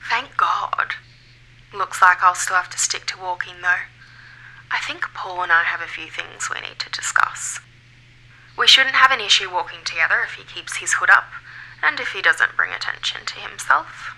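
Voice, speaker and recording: Laura, a bright-voiced, brisk ghostly woman. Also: fairly low pitch, in a whisper, full character voice, rough recording. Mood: wry, businesslike. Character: Laura, unseen ghostly woman, relieved yet brisk and blunt. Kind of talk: audio fiction acting.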